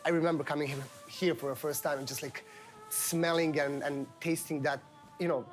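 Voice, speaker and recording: A man from Belgrade, chatting casually as a guide, friendly with many pauses. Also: a little high, fairly even intonation, faint music behind. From Serbia, Belgrade. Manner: friendly, hesitant with fillers. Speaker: guest, local guide. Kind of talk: casual chat.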